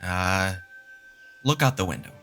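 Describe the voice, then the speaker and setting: Guy playing a smug doomy math genius in an audio drama, lowish punchy voice, lectures emphatically, music underneath. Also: animated, measured pace, sharp tone, full character voice. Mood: lecturing.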